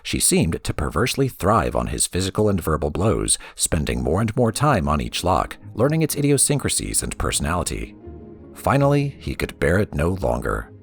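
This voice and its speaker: A man reading a story aloud as a storybook narrator, with a theatrical, expressive delivery. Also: low voice, moderate pace, creaky, breathy edge, no echo, soft background music. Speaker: lore reader for a gaming let's play.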